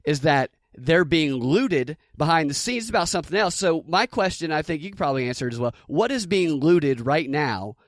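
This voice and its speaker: A man's voice: on the high side, lively intonation, moderate pace, few pauses, no room sound. A man hosting a political talk podcast, telling a story and acting out its quotes. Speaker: podcast host. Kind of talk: casual political commentary.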